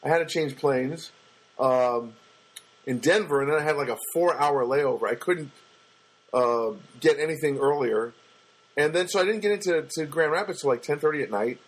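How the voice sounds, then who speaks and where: A man with a booming voice telling a personal story in a casual monologue, halting often with fillers and restarts. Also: slightly rough, low-quality recording. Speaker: storyteller recounting a personal loss.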